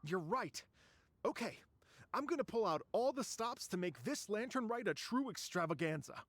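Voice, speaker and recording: A man's voice: high voice, animated, normal speed, fairly bright tone, no echo. A man voicing an earnest, polite, easily awed musician in a video game, courteous and a little hesitant. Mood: moved, eager. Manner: hesitant, courteous, stammering slightly. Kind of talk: video game character acting.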